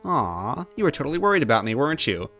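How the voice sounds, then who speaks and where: A man's voice: mid-range voice, sing-song, fast, a bit bright, soft background music, voice pushed up. A man voicing a nosy, sassy female Goomba who likes to tease, in a story reading. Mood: teasing. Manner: sassy, teasing.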